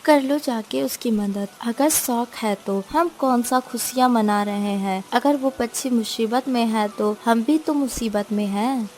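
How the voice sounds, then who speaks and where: A woman's voice: on the high side, unhurried, few pauses, a little mellow, slight background noise, natural voice. A woman voicing a grumpy, selfish female bird in a cartoon story, griping and sarcastic.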